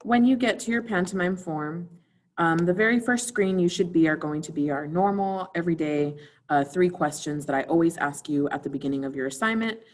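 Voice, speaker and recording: A woman's voice: lowish voice, fairly quick. A woman theater teacher giving an informal recorded video lesson, instructing students directly and putting questions to them.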